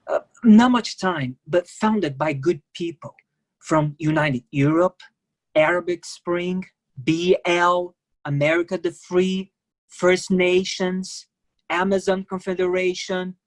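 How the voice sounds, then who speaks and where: An actress as an earnest ship worker. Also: female voice, lowish voice, fairly even intonation, lightly acted. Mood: earnest, hopeful. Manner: halting, simple non-native phrasing. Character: idealistic young Brazilian cruise-ship worker, earnest and secretive. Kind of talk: dramatic staged play reading.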